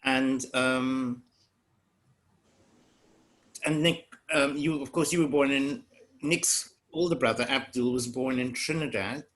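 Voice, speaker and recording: A man's voice: mid-range voice, fairly even intonation, slow, long pauses, fairly bright tone, poor audio. An older man on a family video call, telling a rambling family story.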